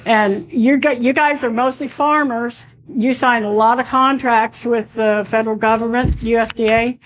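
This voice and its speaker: A woman's voice: fairly high pitch, fairly even intonation, normal speed, poor audio. A woman losing her voice as she talks at a public meeting in a conversational way, full of fillers.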